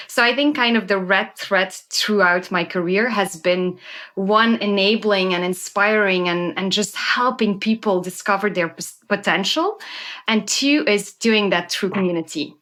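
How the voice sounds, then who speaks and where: A woman's voice: mid-range voice, fairly bright tone. A woman in her thirties from Belgium with a Belgian accent, a guest telling her story with enthusiasm in a conversational interview.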